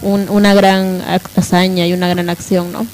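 A woman's voice: on the low side, little pitch movement, moderate pace, a little mellow, a little hiss or hum. A young woman with a Bolivian accent chatting casually as a radio co-host. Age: young adult.

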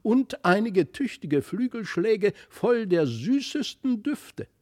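Man voicing giggly, high-pitched gossiping bushes. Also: very animated, takes it slowly, a little mellow, heavily acted. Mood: merry, boastful. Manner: lisping, whispery, gossipy. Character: chattering, giggling bushes, airy and merry storybook nature spirits.

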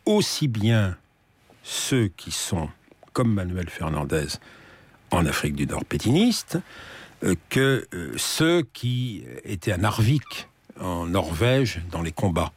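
A man hosting a radio history show, introducing archives and asking measured, probing questions. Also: deep voice, sing-song, breathy edge. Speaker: radio host.

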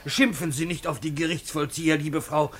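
An actor in a German radio play playing a courteous, down-on-his-luck animal showman, florid, imploring and deferential, with a husky, bright voice. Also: fairly high pitch, steady tone, a little fast, natural voice. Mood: pleading, courteous.

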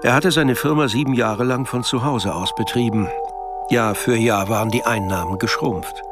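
A man's voice: medium pitch, moderate pace, music in the background. A man reading scripted literary narration for a radio play.

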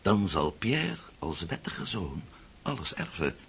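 An actor playing a scheming, impatient older prince in a radio drama, coaxing and condescending with a rough, hoarse voice. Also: male voice, deep voice, big pitch swings, slow pace, fairly bright tone, exaggerated voice. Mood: impatient, insistent.

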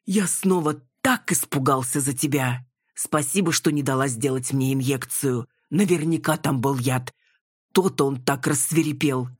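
A woman's voice: very deep voice, moderate pace, husky, no echo, studio quality. A woman voicing a doting, boastful, effusive older father in an audiobook. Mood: relieved, fond. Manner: effusive, self-satisfied.

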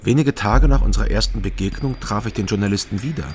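A wry, taciturn East German night porter tells his story in a radio crime play, played by a male actor. His voice is fairly low and his delivery terse and understated, with music clearly underneath. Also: quick pace, natural voice. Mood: dry, reflective. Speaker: first-person narrator. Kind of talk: noir-like radio drama narration and dialogue. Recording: music behind the voice.

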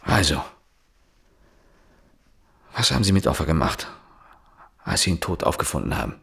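An actor playing a weary, accusing police inspector in a radio drama, speaking insistently and deliberately. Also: male voice, a bass voice, very animated, creaky, a bit bright, breathy edge, exaggerated voice. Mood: accusing, pressing. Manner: insistent, deliberate, bitterly rhetorical. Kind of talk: German radio drama acting.

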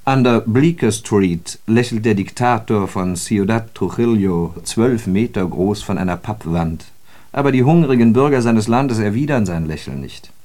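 An actor playing a sardonic, disillusioned socialist who observes city life in a radio drama, with ironic, declamatory and emphatic phrasing. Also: male voice, lowish voice, expressive, moderate pace, a little mellow, lightly acted. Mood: sardonic, observant. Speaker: radio play speaker. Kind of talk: literary German radio drama monologue.

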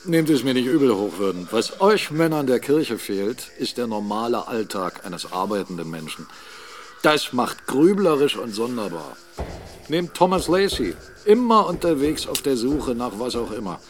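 An actor playing a proud, brusque mill owner and captain in a radio drama, boastful and quick to argue. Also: male voice, mid-range voice, sing-song, a little hiss or hum, natural voice. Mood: gruff, teasing. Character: blunt, proud mill-owning captain, a gruff businessman.

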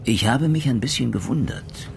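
A man playing a persistent, eloquent police inspector in a radio crime drama, speaking deliberately and theatrically. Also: medium pitch, lively intonation, vocal fry, noisy background. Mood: probing. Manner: deliberate, emphatic, rhetorical.

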